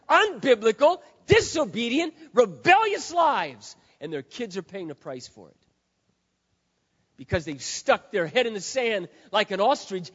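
A man preaching a sermon as pastor, hoarse, swinging from quiet earnest talk to loud impassioned shouting. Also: very high voice. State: hoarse, says he has lost his voice. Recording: dull sound with long hall reverb.

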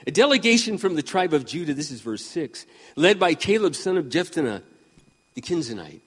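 A man around sixty preaching a sermon with impassioned emphasis, swinging between loud peaks and quiet asides. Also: fairly high pitch, big pitch swings, brisk, husky, long reverb tail. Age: 60s. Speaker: pastor.

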